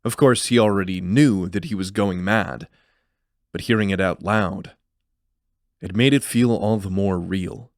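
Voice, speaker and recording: Male narrator for fantasy audio drama: lowish voice, measured storytelling, close dry sound. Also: no echo.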